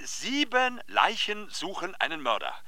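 Pompous, wildly animated crime editor. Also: male voice, sits high, unhurried, dry recording, full character voice. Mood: delighted, grandiose. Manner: theatrical, condescending, swooping emphasis. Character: pompous, excitable male crime publishing editor. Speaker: actor in radio drama. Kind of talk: German radio play dialogue.

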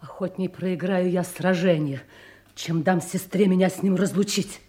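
Woman acting haughty scheming duchess for a radio tragedy, mellow tone, theatrical. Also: on the low side, fairly even intonation, normal speed, natural voice. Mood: jealous. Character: jealous, imperious duchess, scheming sister. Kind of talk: classical stage acting for audio drama.

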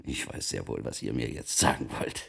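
An actor playing a wealthy, wily merchant of old Italy in a German radio play, speaking formally and deliberately in a gravelly, husky voice. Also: male voice, a bass voice, exaggerated voice. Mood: confiding, intense. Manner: formal archaic phrasing, deliberate storytelling.

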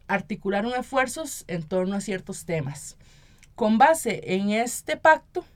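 A Costa Rican woman speaking as an interview guest in an earnest, deliberate, formal explanatory way. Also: mid-range voice. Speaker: interview guest, activist and municipal representative. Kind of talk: radio interview, explanatory talk.

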